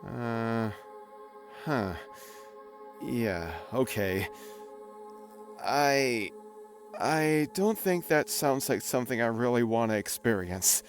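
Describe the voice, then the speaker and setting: A man acts a guilt-ridden soul in an audio drama, casual and sardonic. His pitch moves widely while his delivery takes its time and hesitates. Soft music sits under the voice. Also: animated, slow, long pauses. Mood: subdued. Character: newly dead man, flippant, nervous, guilt-ridden soul. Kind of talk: naturalistic audio drama acting.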